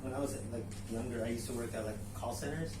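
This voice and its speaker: A man joining a casual group chat, animated and laughing as he talks. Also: mid-range voice, quick pace. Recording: distant echoey room with chatter.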